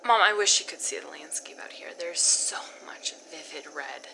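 A woman's voice: sits low, expressive, moderate pace, gravelly, sharp tone, in a whisper, exaggerated voice, low-quality recording. A young woman in an audio drama leaving a chatty, upbeat voicemail for her mother. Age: young adult. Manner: chatty, upbeat, a little breathless.

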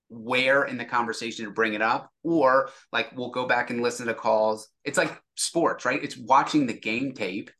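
Bright-voiced man explaining in an interview. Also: medium pitch. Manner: explanatory, acts out example dialogue. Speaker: sales executive, podcast guest. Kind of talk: casual interview talk.

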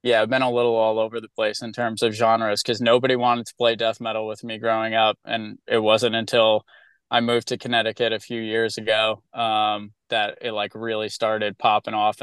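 A musician guest in an interview, answering in a hesitant, laid-back way full of fillers. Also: male voice, lowish voice, level tone, moderate pace, creaky, fairly bright tone, clear, resonant tone, no room sound. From upstate New York.

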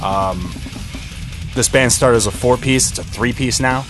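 A man's voice: mid-range voice, a little slow. A man co-hosting a podcast, talking casually and with informal slang as he reviews music. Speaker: podcast co-host.

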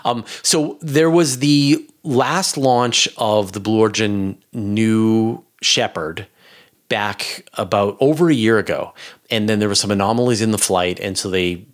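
Low-voiced man explaining things casually. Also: measured pace. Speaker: space expert guest.

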